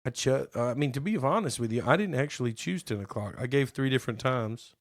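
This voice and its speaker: A man co-hosting a casual podcast, playful and teasing, his voice a bit hoarse from being sick. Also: mid-range voice, expressive, no room sound, clean studio sound. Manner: playful, teasing, drawn-out reading aloud. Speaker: podcast co-host. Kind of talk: casual chat.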